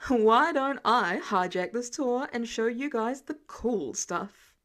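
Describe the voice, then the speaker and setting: A woman's voice: a little high, animated, slow, a bit bright. A woman voicing a shrewd billionaire inventor in a narrated story, light, friendly and showy. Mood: breezy. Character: breezy, shrewd billionaire man, genius inventor.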